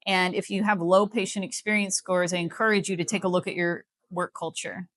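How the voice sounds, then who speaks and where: A woman's voice: on the low side, steady tone, a little fast, fairly bright tone, no echo. An American woman explaining things in a live interview as a guest, in an emphatic, persuasive manner. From Missouri, United States. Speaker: healthcare company CEO, interview guest.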